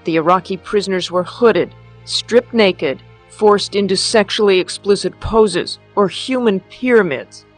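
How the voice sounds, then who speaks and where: A woman reads a scripted news report in a mid-pitched voice. Her phrasing is grave and measured out item by item, with faint music underneath.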